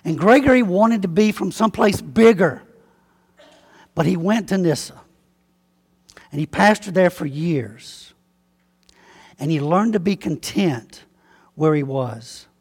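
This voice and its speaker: A Southern-accented pastor preaches in a relaxed, folksy way, slowing to lean on key words. His man's voice sits high with a husky edge. It rings out with a long reverb, as if in a large church. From Alabama, US. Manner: folksy, conversational preaching with slow emphatic spelling-out. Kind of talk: sermon.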